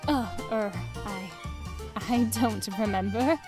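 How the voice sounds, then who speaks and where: A woman acts out a flustered cartoon rabbit mother. Her very high voice stammers anxiously and picks its way slowly along. Tinkly children's music plays clearly behind her. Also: lively intonation. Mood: nervous, evasive. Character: flustered, nervous middle-aged mother rabbit. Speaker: voice actor in a comic dub.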